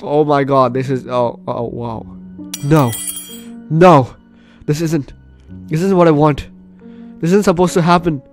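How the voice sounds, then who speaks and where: Brief: male gaming host, fairly high voice, dramatic and stumbling read-aloud, faint game music underneath. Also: steady tone, measured pace, long pauses. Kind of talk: gameplay commentary, reading story text aloud.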